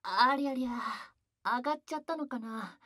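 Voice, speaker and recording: A man's voice: very high voice, moderate pace, sharp tone, dry recording, studio quality. A man playing a sweet, cutesy, girlish young male idol in a voice drama, bubbly in manner. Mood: comforting. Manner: cute, bubbly, refers to self by name. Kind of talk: Japanese voice drama acting.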